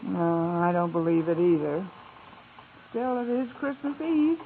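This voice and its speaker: A thin old radio recording with faint hiss presents a woman schoolteacher. She is dry, wry and courteous, with animated, swinging intonation in classic sitcom style. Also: lowish voice, a little slow, narrow, tinny audio. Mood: drowsy, musing. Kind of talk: 1950s radio sitcom acting and narration.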